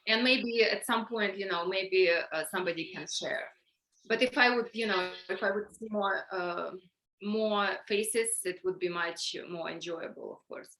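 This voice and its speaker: A middle-aged woman with a Ukrainian accent leads an online workshop. She talks in halting bursts with many fillers and restarts, and her voice sounds bright and clear. The video call audio echoes around the room. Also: mid-range voice. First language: Russian or Ukrainian. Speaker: workshop facilitator.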